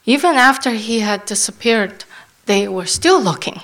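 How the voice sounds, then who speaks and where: A woman interpreting a sermon into English as it happens, often halting and restarting while she searches for words. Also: fairly high pitch, expressive, a bit bright.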